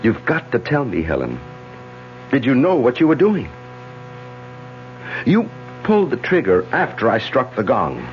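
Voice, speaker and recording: An actor playing an urgent, solicitous doctor who takes authority under strain, in a vintage radio drama style. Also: male voice, medium pitch, huge pitch swings, unhurried, long pauses, faint music behind. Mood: concerned, probing. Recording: dull lo-fi old radio recording.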